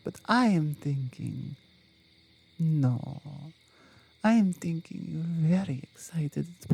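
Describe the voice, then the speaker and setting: Woman voicing a slow, hushed, loving mama bear. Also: sits low, heavily acted. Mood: teasing, affectionate. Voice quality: soft, hushed, slightly breathy. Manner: halting foreign-sounding phrasing, slow and affectionate. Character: loving, tender female mama bear, devoted mate and mother. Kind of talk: ASMR roleplay, intimate scripted monologue.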